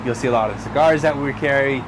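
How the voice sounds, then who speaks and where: A man's voice: mid-range voice, very fast, noisy background. A cigar lounge owner with an El Paso Texan accent, speaking earnestly in a casual interview and often repeating words as he starts a sentence.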